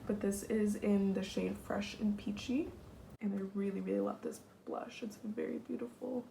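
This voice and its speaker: A young woman with a raspy voice, chatting casually through a makeup tutorial and often dropping to a whisper as she works. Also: medium pitch, steady tone, normal speed, warm tone, a little hiss or hum, poor audio. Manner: chatty, slips into whispers while working. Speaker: beauty vlogger. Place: home, at a mirror.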